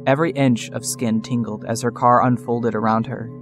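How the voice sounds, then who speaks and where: A man's voice: mid-range voice, steady tone, moderate pace. Wry male storyteller over music. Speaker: narrator. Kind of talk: scripted dramatic story narration. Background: music score.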